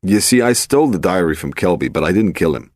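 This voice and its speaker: A man's voice: deep voice, animated, fast, a little mellow, no echo, clean studio sound. A man voicing a sleek, sinister American gangster, brash and growling, in a crime story. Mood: defensive. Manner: growling, brash, self-confident.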